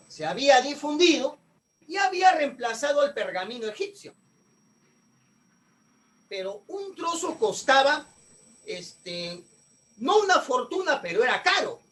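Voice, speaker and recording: High-voiced man teaching a class. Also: a bit bright, distant mic, low-quality recording. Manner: asks the class questions and answers them himself. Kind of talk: online class lecture.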